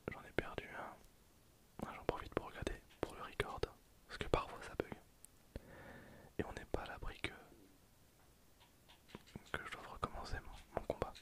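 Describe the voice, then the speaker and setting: A person whispering casual, slangy ASMR commentary over a video game, in breathy, hesitant phrases that trail off. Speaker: host of an ASMR gameplay channel.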